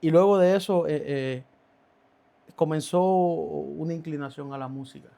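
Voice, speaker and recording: A man's voice: a little high, vocal fry, mellow. A man, a podcast guest telling his faith story with many halts and restarts. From Cuba. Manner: halting, many restarts and fillers. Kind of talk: testimony in a podcast interview.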